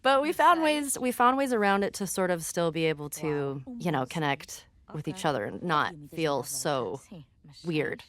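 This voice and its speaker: Woman, lowish voice, actress just chatting about performance capture work. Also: expressive, normal speed. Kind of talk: casual chat.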